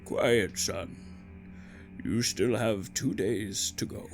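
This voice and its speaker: A very slow, hushed fatherly visitor. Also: on the low side, faint music behind. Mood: hushed, soothing. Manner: hushed, unhurried, calming. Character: quiet, fatherly male visitor to a prison cell. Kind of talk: hushed audio drama acting.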